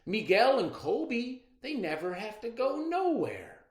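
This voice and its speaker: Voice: mid-range voice, huge pitch swings, slow pace, reverberant, pitched above the performer's own voice. A storybook reader voicing a young grandson who asks childlike, complaining questions.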